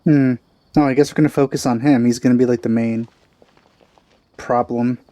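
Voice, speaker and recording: A man giving casual let's-play commentary, musing aloud in a halting, informal way. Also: mid-range voice, steady tone, warm tone, no echo. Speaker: gaming video host.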